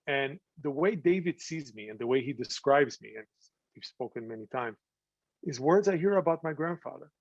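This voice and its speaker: A man giving an earnest talk to an audience about his film, often pausing to find words. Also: medium pitch, expressive, dry recording. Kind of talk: presentation to an audience. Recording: slightly dull, dry sound.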